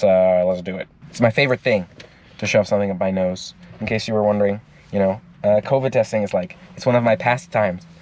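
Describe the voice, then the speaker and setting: A man's voice: lowish voice, darkish tone. A man with a stuffy nose, talking in an upbeat, joking way in a casual video diary. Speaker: vlogger. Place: inside a car. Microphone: phone mounted on the steering wheel.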